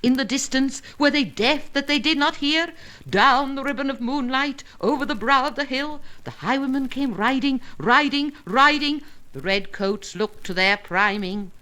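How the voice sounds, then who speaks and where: A woman reading narrative poetry aloud in a dramatic, measured and expressive manner, with deliberate pauses and emphasis. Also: high-pitched. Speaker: poetry reader.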